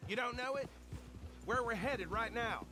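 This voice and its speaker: An actor playing an anxious, bossy and loyal sheriff's deputy in a video game, with loud music over him. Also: male voice, very high-pitched, a little slow. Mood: calm, chatty. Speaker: deputy lawman. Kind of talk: video game cutscene acting.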